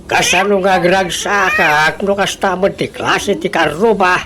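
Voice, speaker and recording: A woman's voice: on the low side, fairly even intonation, measured pace, noisy background, lightly acted. A woman playing a nosy, jealous, grumbling old gossip in a comedic radio drama, with an Ilocano accent. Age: older. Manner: grumbling, muttering, swooping into outbursts.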